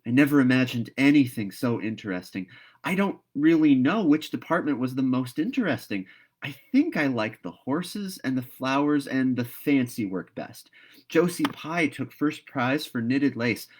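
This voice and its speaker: A man's voice: mid-range voice, expressive, moderate pace, close to an everyday voice. A man voicing a talkative, dreamy young girl in a storybook read-aloud, earnest and gushing. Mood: eager, pleased.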